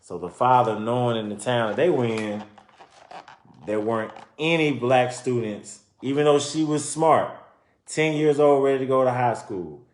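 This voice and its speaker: A man reading a children's book aloud and pausing to explain and comment informally to his listeners. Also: medium pitch. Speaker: reader presenting a children's book. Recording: roomy, echoing sound.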